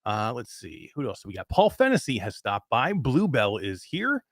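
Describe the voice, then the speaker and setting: A man of fifty hosting a live show in casual chat, reading out greetings with excitement. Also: medium pitch, normal speed, dry recording, clean studio sound. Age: 50s. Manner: excited, animated chat shout-outs. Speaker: host.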